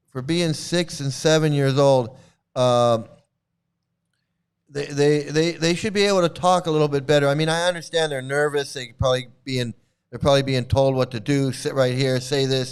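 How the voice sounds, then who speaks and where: Smooth-voiced man reacting to videos. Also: mid-range voice, fairly even intonation, normal speed. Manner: laughs often, repeats phrases, stammering restarts. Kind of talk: casual reaction commentary.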